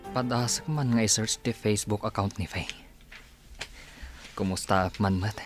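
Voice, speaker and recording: A man's voice: on the low side, steady tone, normal speed, music in the background, close to an everyday voice. A man with a northern Philippine accent playing an earnest young man in a radio drama, plain and conversational. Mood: pensive.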